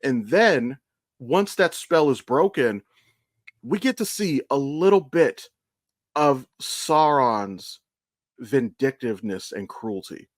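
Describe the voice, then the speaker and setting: A man talks as a podcast co-host in a medium-pitched voice. He lays out his fan opinions in casual, sweary chat, with very little room sound around him. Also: animated, slow, dry recording, studio quality. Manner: sweary, explanatory fan talk. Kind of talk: casual chat, opinionated pop-culture commentary.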